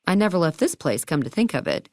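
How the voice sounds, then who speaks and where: A woman's voice: low voice, sing-song, fast talker, darkish tone, no echo, clean studio sound, heavily acted. A woman playing a perky, eager and quirky hotel keeper in a video game, chatty and full of chirpy salesmanship and playful old-fashioned slang. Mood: fond, proud. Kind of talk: video game dialogue lines.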